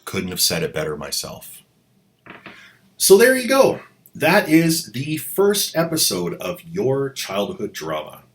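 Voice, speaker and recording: A man's voice: lowish voice, huge pitch swings, takes it slowly. A man hosting a channel, giving sarcastic commentary with wry, mocking asides. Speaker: host.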